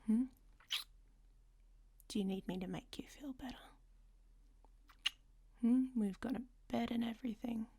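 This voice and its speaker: A woman's voice: fairly high pitch, a little slow, long pauses, dark tone, slightly rough. A woman playing a worldly, flirtatious traveler in an audio roleplay, speaking to the listener in a teasing, often half-whispered way.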